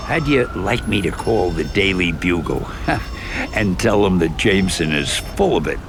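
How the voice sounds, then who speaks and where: Gravelly, jovial old shopkeeper. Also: male voice, low-pitched, very animated, normal speed, noisy background. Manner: folksy, enthusiastic, showman-like. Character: jovial, avuncular old comic shop keeper. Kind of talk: video game voice acting. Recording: music under the voice. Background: music.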